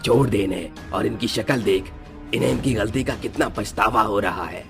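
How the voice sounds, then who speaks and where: A man voicing a stern, wise village holy man who commands and admonishes in an animated moral story. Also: lowish voice, normal speed, vocal fry, soft background music. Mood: stern, admonishing.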